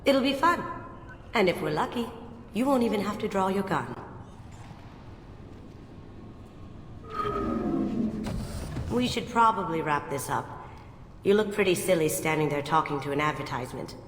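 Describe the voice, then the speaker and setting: An actress playing a playful, confident young master thief in a video game, coy and wry. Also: female voice, on the low side, expressive, fairly quick, natural voice. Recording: roomy reverberant sound, clear background noise.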